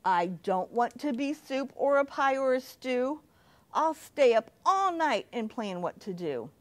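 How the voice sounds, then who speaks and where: A woman's voice: high-pitched, big pitch swings, a little slow. A woman voicing a cheerful young male pumpkin who loves fun things, speaking in a sweet, upbeat way in a storybook reading. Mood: determined.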